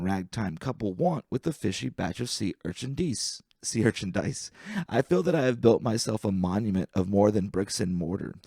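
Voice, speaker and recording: A man reading humorous poetry aloud as an amateur, with a deliberate, wry delivery and careful enunciation. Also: on the low side, vocal fry, dark tone, no echo, clean studio sound.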